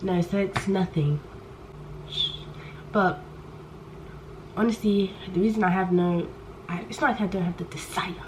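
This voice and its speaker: Loud rambling young woman. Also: lowish voice, unhurried, pauses often. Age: young adult. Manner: rambling and candid, trails off and restarts, loud on purpose. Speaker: vlogger. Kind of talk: casual chat to camera. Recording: poor audio with faint background noise.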